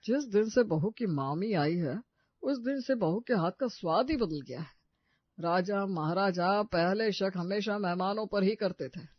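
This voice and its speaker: A woman's voice: medium pitch, lively intonation, a little slow, slightly rough, no echo, dull audio. A woman voicing an elderly father-in-law in a moral story, a folksy, musing head of the household. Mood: suspicious.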